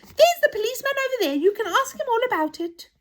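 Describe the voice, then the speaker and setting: A blunt, accusing toy from a children's storybook, acted by a woman. The voice jumps very high and swings about freely. Every statement comes out as an emphatic bit of tattling. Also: very animated, quick pace. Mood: helpful.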